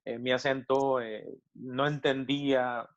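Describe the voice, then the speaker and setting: A middle-aged Mexican pastor with a Mexican Spanish accent answers questions over a video call. He speaks reflectively, pausing and restarting often as he works through his thoughts. His voice sits at an ordinary pitch and comes through a slightly dull, lo-fi connection. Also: little pitch movement. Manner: thoughtful, with many hesitations and restarts. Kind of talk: interview answers.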